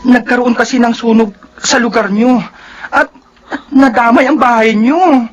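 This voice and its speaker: Caring Filipino cousin, dull recording. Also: female voice, fairly high pitch, steady tone, a little slow. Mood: sorrowful. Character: caring, gentle young female cousin, sorrowful confidante. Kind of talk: Filipino radio drama acting. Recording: dull, band-limited broadcast with music bed.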